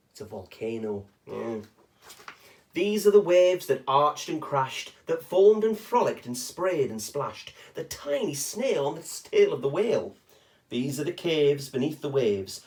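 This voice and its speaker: A man reading a rhyming children's picture book aloud expressively, with short chatty asides to young listeners. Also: mid-range voice.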